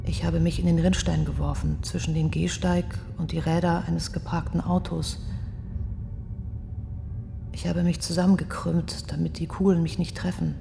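An actress playing a young woman architect who survived a terror attack, giving halting, restrained testimony in a radio play. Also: on the low side, little pitch movement, vocal fry. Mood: shaken, restrained. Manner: halting, restrained, hushed in places. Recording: long reverberant hall, music underneath. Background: music.

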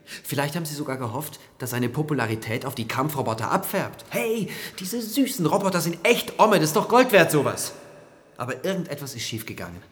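An actor playing a persistent, confrontational prosecutor in a radio drama, questioning in a probing, insistent manner. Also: male voice, fairly high pitch, very animated, a little fast. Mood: accusing. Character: persistent, confrontational male military-case prosecutor. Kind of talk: German radio drama dialogue.

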